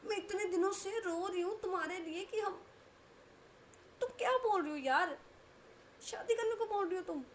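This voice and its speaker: A woman voicing a distraught, indignant young woman in a told story, speaking high and shrill. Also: expressive, brisk, pauses often, a little mellow, echoey room, slight background noise. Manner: high-pitched, shrill outburst.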